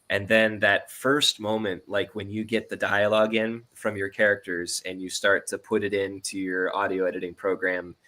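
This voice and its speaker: A man's voice: fairly low pitch, pushed and bright. A man in his thirties talking casually as an interview guest, easygoing and earnest, feeling his way through his phrases. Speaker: audio drama producer and editor, interview guest.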